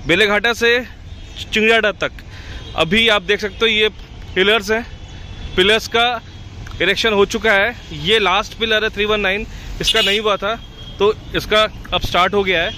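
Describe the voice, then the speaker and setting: A man's voice: high-pitched, fairly even intonation, moderate pace, sharp tone. A man with an Indian accent narrating casually on site as a vlogger, repeating himself and mixing in English words. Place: outdoor construction site beside a busy road. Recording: noisy outdoor street with heavy traffic. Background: traffic and city ambience.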